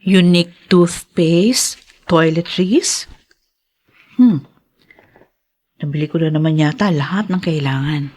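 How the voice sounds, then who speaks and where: A woman's voice: sits low, slow, long pauses, a little mellow. An actress playing a kind, chatty stepmother who has come back from shopping and offers to cook breakfast. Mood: cheerful. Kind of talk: dubbed TV drama acting.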